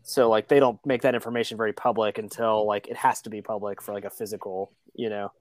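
A man's voice: fairly low pitch, little pitch movement, fast talker, dry recording. A man on a sports podcast panel, explaining casually and hesitantly with many filler words. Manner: hesitant, frequent "like".

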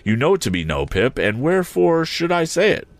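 A humble, earnest working man, voiced by a man reading a story, muddling through roundabout formal phrasing. The voice rises and falls in lively swings. The reading is dry and close. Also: fairly high pitch, a little fast, dry recording, voice pushed up. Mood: earnest, halting. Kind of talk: audiobook character voice.

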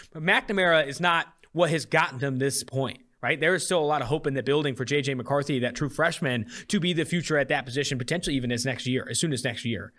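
A man's voice: fairly high pitch, pushed and bright. A man hosting a sports podcast, casually analysing games and betting in an emphatic, mildly profane way.

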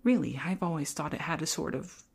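A woman playing a shy, hapless young female ghost in an ASMR roleplay, speaking softly and often whispering, with theatrically spooky teasing. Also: low-pitched, a little fast, exaggerated voice. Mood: mock menacing. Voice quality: soft, breathy, often whispered.

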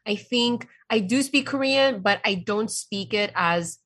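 A woman with a bright but husky voice, talking casually as a guest on a show. She is thoughtful and hesitant, feeling her way through what she says. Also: medium pitch, a little slow. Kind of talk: casual chat.

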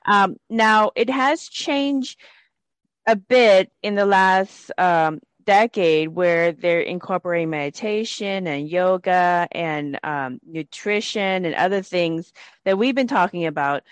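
Slow-speaking woman host, measured and dry. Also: on the low side, smooth, no room sound. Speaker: host, energy healing practitioner. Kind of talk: casual conversational interview.

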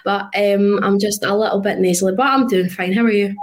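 A woman's voice: mid-range voice, fairly even intonation. A woman co-hosting a podcast, chatty and a little congested. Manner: quick, chatty. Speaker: podcast co-host.

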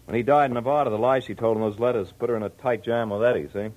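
A man's voice: medium pitch, fairly even intonation, fast, a little mellow, dull audio, close to an everyday voice. An American man playing a shrewd, plainspoken police detective in a 1940s radio drama. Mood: explaining, brisk.

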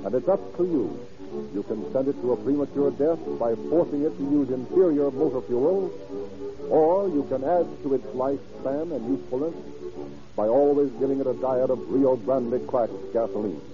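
A man's voice: mid-range voice, moderate pace. Old timey american radio announcer guy, declamatory scripted delivery, muffled recording, music around. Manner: formal, declamatory. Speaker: announcer and narrator.

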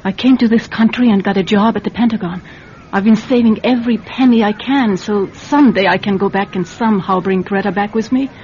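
A woman acts out an earnest, anxious immigrant mother in an old radio drama. She sounds wary and pleading, with a medium pitch, on a dull recording with light noise. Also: a little fast, darkish tone, close to an everyday voice. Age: adult.

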